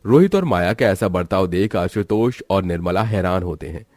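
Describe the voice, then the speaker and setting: Guy narrating a moral story, lowish voice, no room sound at all. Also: expressive, normal speed, a little mellow, no room sound, studio quality.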